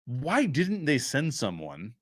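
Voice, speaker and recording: A man with a mid-pitched voice talks like the host of a review show. His commentary is casual and opinionated, and he explains his points with emphatic stress on key phrases. The sound is close and dry, with very little room. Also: huge pitch swings, slow, no echo.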